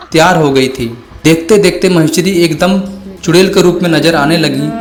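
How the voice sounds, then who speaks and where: Male storyteller for scripted horror narration, slightly high pitch, soft music bed.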